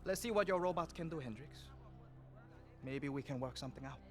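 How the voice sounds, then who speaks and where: An actor playing a foul-mouthed, swaggering gangster boss in a video game cutscene, taunting and boastful. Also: male voice, on the high side, brisk, close to an everyday voice. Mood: wary. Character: swaggering male gangster arms buyer, foul-mouthed and bitter. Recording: music under the voice.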